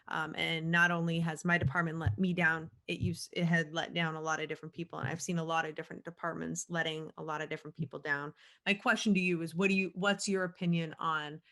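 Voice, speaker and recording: A woman hosting a podcast who speaks with passion in a measured, steady way. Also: sits low. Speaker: podcast host, police officer. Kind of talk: interview podcast.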